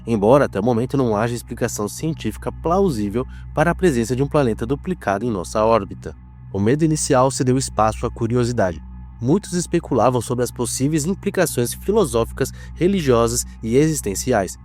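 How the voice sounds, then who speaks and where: A man with a Brazilian accent narrating a scripted horror mock documentary in a solemn, measured, dramatic manner. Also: mid-range voice, expressive, a little mellow, soft background music.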